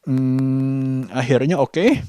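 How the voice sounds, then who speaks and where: Halting man talking in a dry space. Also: medium pitch, mellow, clean tone, dry recording. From Indonesia. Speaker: vlogger. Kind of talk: casual vlog monologue.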